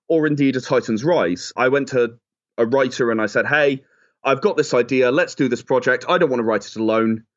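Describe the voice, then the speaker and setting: A man giving chatty, enthusiastic, emphatic answers as a guest in a conversational interview. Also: medium pitch. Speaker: interview guest, game creator. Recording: dull, lo-fi audio.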